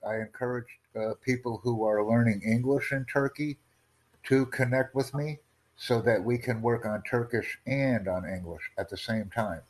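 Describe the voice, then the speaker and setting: A man's voice: mid-range voice, fairly even intonation, moderate pace. A man hosting a casual video chat, earnestly promoting his language course and repeating himself. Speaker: language-learning channel host.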